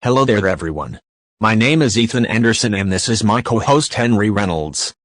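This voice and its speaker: A man acts out a serious male news anchor in a dramatized newscast. His pitch sits a little low, his delivery is formal and dismissive, and the sound is dry and close. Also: lively intonation, a little fast, fairly bright tone, dry recording. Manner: formal, presenterly. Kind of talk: amateur audio drama newscast.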